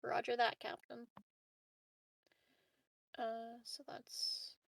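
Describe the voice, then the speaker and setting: A woman's voice: fairly high pitch, monotone, extremely slow, gravelly, a bit bright, no room sound. A woman playing a tabletop roleplaying game, talking casually through her turn.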